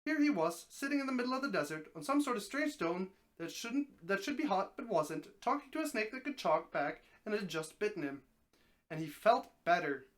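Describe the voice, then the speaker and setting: A streamer reading a story aloud as a dramatic narration, expressive, now and then stumbling over a word. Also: medium pitch, a little fast.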